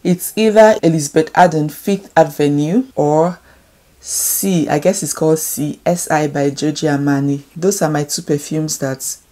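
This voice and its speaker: A woman's voice: low-pitched, fairly even intonation, normal speed, mellow. A young Nigerian woman with a Nigerian accent, chatting casually to camera as she reads out and answers questions in a home room. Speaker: beauty YouTuber.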